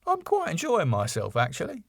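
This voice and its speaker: Man playing cheeky male sidekick, sarcastic, teasing, raised pitch, sitcom comedy, dry recording. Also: wildly swooping pitch, moderate pace, gravelly, no echo, full character voice.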